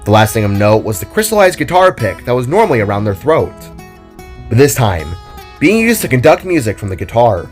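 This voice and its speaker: A man narrating a scripted audio drama story in a deliberate, expressive storytelling manner. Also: medium pitch. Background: solo acoustic guitar music.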